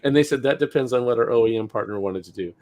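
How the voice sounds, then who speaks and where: A man's voice: mid-range voice, fast, darkish tone. A man co-hosting a podcast, talking in a casual, conversational commentary style. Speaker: podcast co-host.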